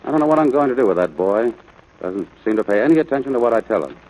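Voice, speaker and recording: A stern, exasperated rancher father, played by a man, rattles along quickly in a medium-pitched voice. His manner is brisk, scolding and sarcastic, in the style of a 1940s radio play. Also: expressive, darkish tone, close to an everyday voice, low-quality recording. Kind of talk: 1940s radio drama acting.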